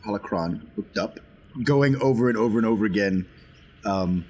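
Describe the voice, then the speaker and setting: A man's voice: lowish voice, normal speed, darkish tone, breathy edge. A man playing a tabletop roleplaying game, improvising his character's actions and plans in casual talk.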